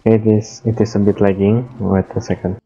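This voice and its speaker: A man giving casual let's play commentary in halting, short, simple remarks. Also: fairly low pitch, flat delivery, mellow. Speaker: gaming video host. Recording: dull microphone, faint game music.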